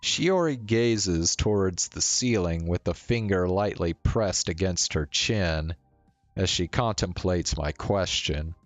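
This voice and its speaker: A deep-voiced streamer reads a visual novel aloud at a slow, measured pace. The narration has a storybook feel, with faint music playing behind it. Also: animated, no room sound. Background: faint game music.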